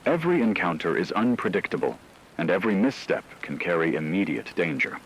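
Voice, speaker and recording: A man playing a solemn radio news anchor, delivering a scripted emergency broadcast in a measured, ominous way. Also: low-pitched, very animated, slight background noise, rough recording. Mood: grave, ominous. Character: grave male radio news anchor reporting an apocalypse.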